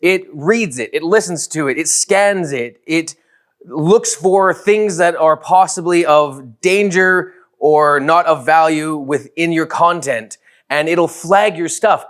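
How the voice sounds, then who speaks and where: A man's voice: a little high, takes it slowly, a bit bright. A man co-hosting a show, giving an emphatic, informal presentation. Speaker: co-host, presenter. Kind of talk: slide presentation.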